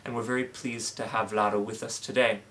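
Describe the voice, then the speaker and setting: A man hosting an interview, reading a formal, measured introduction and then asking polite questions. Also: on the low side, level tone, a bit bright, slight background noise. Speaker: interviewer, author.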